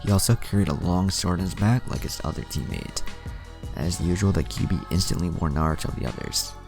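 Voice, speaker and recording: A man's voice: deep voice, brisk, creaky, over music. A man reading a fan fiction story aloud as scripted narration with character dialogue.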